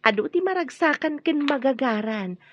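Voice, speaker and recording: A woman's voice: sits high, expressive, normal speed, lo-fi sound, slight background noise. A woman playing an idealistic young village schoolteacher and wife in a radio drama, with an Ilocano accent. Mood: happy.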